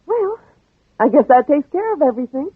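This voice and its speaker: High, animated cheerful radio-ad wife. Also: female voice, fairly quick. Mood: cheerful checking. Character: cheerful wife checking vacation plans in an ad. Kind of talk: 1950s radio commercial acting. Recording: muffled old radio recording.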